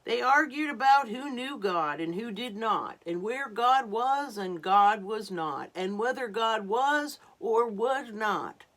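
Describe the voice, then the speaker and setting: A woman reading a story aloud to young children, dramatic and unhurried, dropping into hushed asides. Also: on the high side, expressive.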